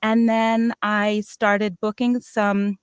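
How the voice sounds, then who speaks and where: A woman's voice: mid-range voice, flat delivery, slow, clean tone, dry recording, studio quality. A middle-aged American woman telling personal stories casually, in a playful, chatty way that often interrupts itself. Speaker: voice actor on a cast panel.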